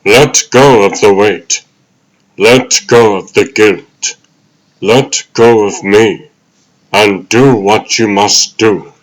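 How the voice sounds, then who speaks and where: A man voicing the ghost of an old butler, fatherly, earnest and deliberate, in a dramatic comic dub. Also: lowish voice, rough recording. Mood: tender, encouraging.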